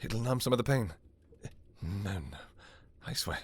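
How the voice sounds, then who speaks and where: A man playing an anguished, devoted vampire king in a fantasy romance audio roleplay, speaking in halting, pleading, formal old-fashioned phrases. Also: lowish voice, animated, husky, heavily acted. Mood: tender, soothing. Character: anguished, devoted vampire king, obsessive lover and new father.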